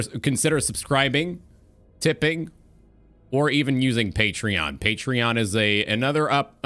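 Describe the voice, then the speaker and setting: A man's voice: mid-range voice, pushed and bright. A man hosting a livestream talk show in a radio-show style, with emphatic, announcer-like phrasing.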